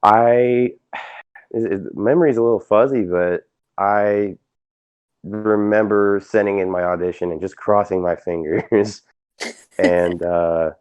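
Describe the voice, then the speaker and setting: A man chatting as an interview guest, speaking earnestly and haltingly over a lo-fi voice call. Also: lowish voice, steady tone, darkish tone, clear, resonant tone, no echo. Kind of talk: casual interview chat. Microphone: online voice call. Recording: dull lo-fi voice call.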